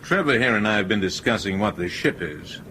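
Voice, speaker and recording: A man playing a shrewd, suspicious businessman in a radio drama, probing in a measured, hesitant way. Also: lowish voice, expressive, pushed and bright, a little hiss or hum. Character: shrewd, suspicious businessman who knows military electronics. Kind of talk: broadcast radio drama acting.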